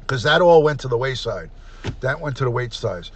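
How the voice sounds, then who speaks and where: A middle-aged man hosting a casual livestream, chatty and repetitive, often clearing his throat. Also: mid-range voice, lively intonation, measured pace. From New York. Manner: chatty, repeats himself, frequent throat clearing. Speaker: livestream host, retired detective. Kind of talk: casual livestream chat, reading comments aloud.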